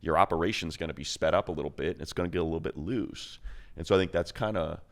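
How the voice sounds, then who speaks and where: Casting: male sports radio analyst, low voice, emphatic, colloquial football talk. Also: fast talker.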